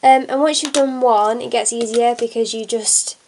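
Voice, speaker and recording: A woman's voice: fairly high pitch, steady tone, moderate pace, creaky, darkish tone, a little hiss or hum. Stuffy-nosed young woman demonstrating a craft. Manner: chatty, many fillers, talks while working. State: congested from a cold. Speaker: craft tutorial maker. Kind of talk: casual hands-on tutorial. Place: at a table indoors, hands on camera.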